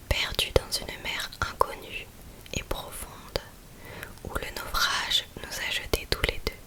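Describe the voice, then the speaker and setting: A woman whispers poetry right up against the microphone in an ASMR style. Her delivery is breathy and unvoiced, taken very slowly. The effect is soft, intimate and soothing throughout. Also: low-quality recording. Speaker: ASMR host. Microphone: close-up USB condenser microphone.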